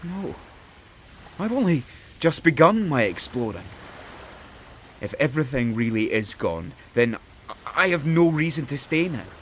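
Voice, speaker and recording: A man's voice: fairly high pitch, big pitch swings, unhurried, slight background noise. An actor playing a bitter, proud king in an audio drama, brooding and intense, with music behind him. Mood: bitter, defiant. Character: bitter, proud young king hungry for godlike power.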